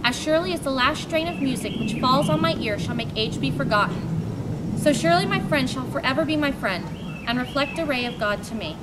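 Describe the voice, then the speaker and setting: A woman's voice: sits high, expressive, fairly quick. A young woman playing a doubting, reflective young male writer in an amateur stage reading, with a wistful, searching delivery. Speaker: homeschool drama performer. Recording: outdoor recording, loud traffic noise.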